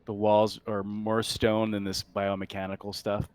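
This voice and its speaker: A man acting as game master, describing scenes in a casual, halting, improvised way during a tabletop game. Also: lowish voice, monotone, clean tone.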